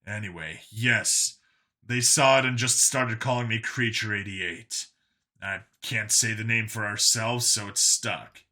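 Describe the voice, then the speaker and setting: A man's voice: fairly low pitch, measured pace, bright, forceful tone. A man voicing a world-weary clone cop in an audio story, burdened by guilt and explaining things matter-of-factly. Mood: patient.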